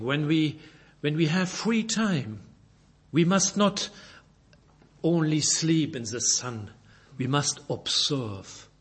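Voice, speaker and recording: Man preaching, husky, slow and halting, repeats words, Belgian. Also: on the high side. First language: French. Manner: halting, repeats words, reads scripture aloud. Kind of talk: sermon.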